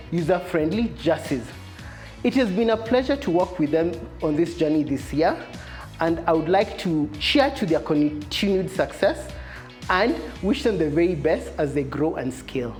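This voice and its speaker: Very low-voiced woman coach, music behind. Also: darkish tone. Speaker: business coach. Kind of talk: scripted testimonial narration.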